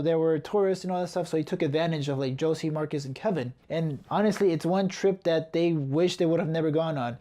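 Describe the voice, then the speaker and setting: A man's voice: fairly high pitch, dark tone. Chatty young man reviewing a movie. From Ecuador. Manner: loose and chatty, many filler "like"s. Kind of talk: casual video review.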